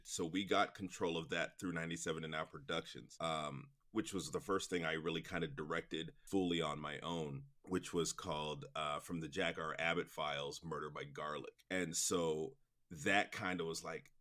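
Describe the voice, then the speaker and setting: A man's voice: deep voice, a bit bright. A man in his forties speaking casually as an interview guest, chatty and informal, thinking aloud as he goes. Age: 40s. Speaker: interview guest, production company president.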